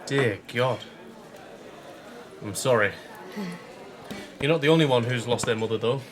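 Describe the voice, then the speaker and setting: Actor as cheeky working-class Victorian English lad. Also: male voice, mid-range voice, unhurried, natural voice. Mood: sorrowful. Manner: informal, slangy, teasing. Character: cheeky, kindly working-class Victorian young man, a grocer's assistant. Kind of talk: period radio drama acting.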